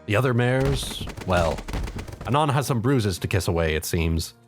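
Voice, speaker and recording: Lively male storyteller over music. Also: lowish voice, normal speed. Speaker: fan fiction narrator. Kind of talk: dramatic scripted storytelling in second person. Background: background music.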